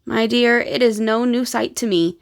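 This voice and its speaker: A woman's voice: fairly high pitch, normal speed, vocal fry. A woman voicing a genteel, plain-spoken elderly grandmother in a storybook, telling her memories in a composed, old-fashioned way. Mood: reminiscing.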